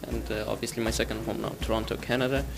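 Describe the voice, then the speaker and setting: A man from Afghanistan, a former refugee student, speaking earnestly with some halting restarts. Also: on the low side, flat delivery, vocal fry, very noisy. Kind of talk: interview testimonial.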